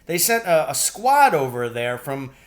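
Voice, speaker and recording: A man's voice: a little high, sing-song, unhurried, voice pushed up. Storybook farmer father, folksy and practical, plain-spoken played by a male reader. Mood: recounting news. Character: Mr. Fitzgibbon, practical matter-of-fact farmer father. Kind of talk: acted storybook dialogue.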